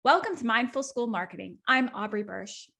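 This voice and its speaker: A woman's voice: a little high, expressive, normal speed, gravelly, bright, forceful tone. A woman hosting a podcast, addressing listeners and guest. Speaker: podcast host. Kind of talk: podcast intro and interview hosting.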